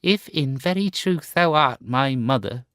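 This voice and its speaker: A man voicing a proud, cruel boy who later turns remorseful, crying out in a high, strained voice. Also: expressive, a little slow, a bit bright, smooth, dry recording, clean studio sound. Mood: scornful.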